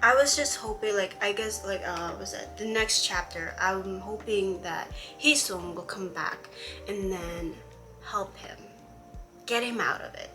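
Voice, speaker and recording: A woman's voice: mid-range voice, measured pace, music in the background. A woman vlogger from Hawaii chatting casually about a comic she is reading, exasperated and rambling, with many fillers and restarts.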